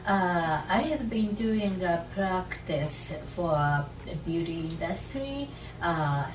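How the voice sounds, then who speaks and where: A woman in her sixties from Japan, speaking English with a Japanese accent as a guest in an interview, pausing often with "uh" while she searches for words. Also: fairly low pitch, lively intonation. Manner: halting, many "uh" fillers, searching for words. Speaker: guest, esthetician. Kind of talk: casual interview. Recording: roomy echo, distant mic, music behind.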